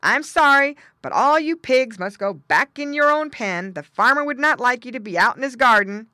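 A big male farm dog from a children's storybook, put on by a woman. He talks in a loud, forceful bark, stern yet polite. His delivery is firm and animated. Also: high-pitched, moderate pace, dry recording, clean studio sound, pitched above the performer's own voice. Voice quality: barking, growling.

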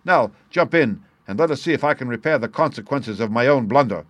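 A man voicing a sharp detective who speaks in a quick, clear, commanding way. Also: medium pitch, no room sound, lightly acted. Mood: urgent.

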